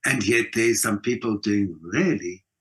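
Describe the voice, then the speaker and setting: South African man with very animated pitch. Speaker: guest, theatre performer. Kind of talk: conversational interview answers.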